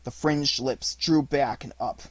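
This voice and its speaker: Husky man narrating an audiobook. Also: medium pitch, a little slow, no echo. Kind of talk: scripted literary narration.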